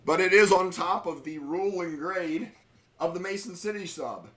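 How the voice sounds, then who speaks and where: A middle-aged man hosting a live train-watching stream, chatting casually in railroad jargon, his voice slightly hoarse from a cold. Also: high voice, normal speed, a bit bright. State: slightly hoarse, under the weather.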